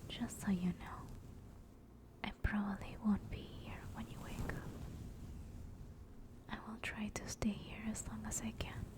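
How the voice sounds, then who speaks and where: A gentle female sleep demon played by a woman whispers in an ASMR comfort roleplay. Her delivery is very slow and level, with little rise or fall. She sounds caring and reassuring throughout. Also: medium pitch, lightly acted.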